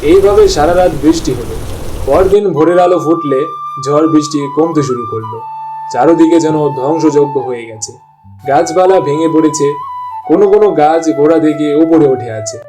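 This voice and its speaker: A man's voice: on the high side, fairly quick, over music, low-quality recording. A man narrating a scripted story as the storyteller.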